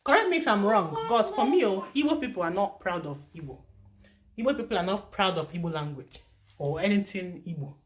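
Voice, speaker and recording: A woman from Nigeria, talking casually to camera as a vlogger from her home, animated and full of repeated rhetorical questions. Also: mid-range voice, brisk, a little hiss or hum.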